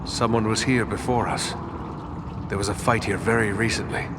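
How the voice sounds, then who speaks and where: An actor playing a watchful, serious ranger in a fantasy game, speaking in terse statements. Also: male voice, on the low side, fairly even intonation, moderate pace, noisy background, natural voice. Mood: grim, watchful. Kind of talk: scripted fantasy game dialogue.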